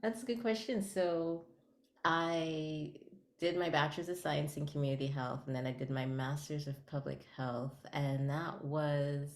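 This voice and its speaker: A woman from Guyana talks as a guest in a casual interview, cheerful and quick to laugh. Her voice sits low for a woman, and the recording carries a noticeable room echo. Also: steady tone. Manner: cheerful, laughs between phrases. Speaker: podcast founder, guest.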